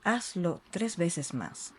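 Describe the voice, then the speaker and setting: A meditation guide speaking in a soothing, unhurried way with long pauses between short phrases. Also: medium pitch, animated, fairly bright tone, slightly rough. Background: ambient sea sounds.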